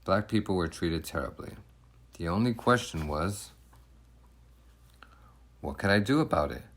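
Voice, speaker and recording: A man with a low voice narrates a children's picture book. He speaks in the first person with emphatic, deliberate phrasing, and his intonation moves up and down in a very lively way. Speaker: storybook reader.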